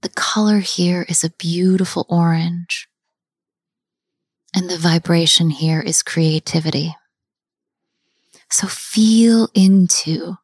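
Soft-spoken woman leading a guided meditation; husky, unhurried, half-whispered delivery. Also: lowish voice, no room sound, clean studio sound. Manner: slow, soft, often half-whispered with long pauses.

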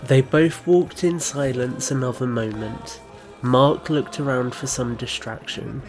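A man's voice: medium pitch, unhurried, faint music behind. A man reading scripted third-person narration as the narrator of an audio drama.

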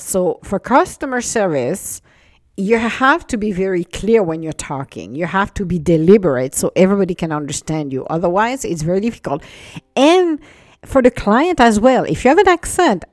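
Animated accented woman podcaster. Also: medium pitch, dry recording, studio quality. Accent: non-native English accent. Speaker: podcast host. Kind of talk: casual solo podcast monologue.

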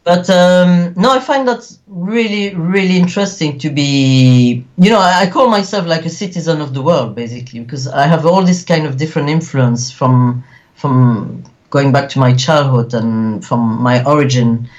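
A man's voice: fairly high pitch, moderate pace, muffled recording. Belgian French-accented man, earnest and rambling. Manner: earnest, rambling, many fillers. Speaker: guest actor. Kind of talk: casual interview.

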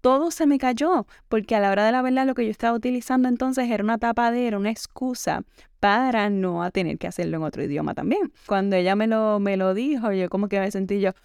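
A woman's voice: medium pitch, fast talker, a little mellow, clean tone, no room sound, studio quality. A young adult woman hosting a podcast, talking through a reflective self-help monologue in a conversational way, leaning on the filler "entonces" and dropping in English words. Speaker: podcast host.

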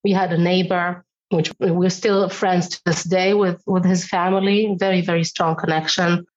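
A woman from Israel, a singing teacher talking frankly and thoughtfully, her voice slightly hoarse. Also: on the low side, level tone, normal speed, lo-fi sound. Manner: thoughtful, frank, laughs often. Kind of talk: casual interview chat.

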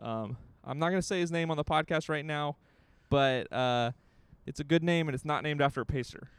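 A man's voice: fairly high pitch, fairly quick, clear, resonant tone, dry recording. A young man co-hosting a podcast in casual, playful, rambling chat, recorded outdoors in a courtyard. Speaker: podcast co-host.

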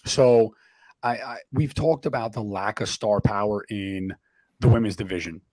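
A man with a hoarse, laryngitis-strained voice in casual sports podcast chat, often clearing his throat. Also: fairly low pitch, moderate pace, a little mellow, no echo. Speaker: wrestling podcast co-host.

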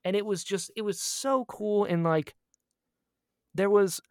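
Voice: mid-range voice, a little slow, darkish tone, no echo, studio quality. A person chatting casually as a podcast co-host, telling stories with a fan's gushing enthusiasm and repeating phrases for emphasis. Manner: acts out quoted exchanges in storytelling.

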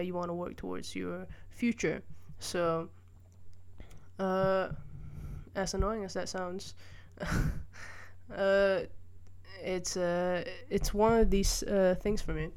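A woman's voice: lowish voice, slight background noise. A young woman from Malaysia hosting a solo podcast, talking through her views in a thoughtful, hesitant way with many fillers and restarts, sitting by a window. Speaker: podcast host. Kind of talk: casual solo monologue. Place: room by a window, rain outside.

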